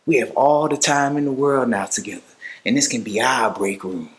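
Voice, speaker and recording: A man's voice: mid-range voice, normal speed, lightly acted. A man acting a creepy, mock-friendly killer toying with a captive in a dramatic monologue. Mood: mock-friendly taunting. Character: unsettling, taunting male killer toying with his captive.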